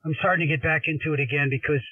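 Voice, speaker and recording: An older man chatting casually as a ham radio operator, explaining things in a rambling, halting way with repeated words. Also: fairly high pitch, steady tone, slight background noise. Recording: roomy, narrow-band radio sound, distant.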